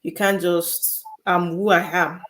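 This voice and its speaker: A woman's voice: fairly low pitch, flat delivery, a bit bright. A woman co-hosting a live-streamed conversation, agreeable and welcoming viewers by name. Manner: slow, agreeable, greets viewers. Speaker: co-host of a live session.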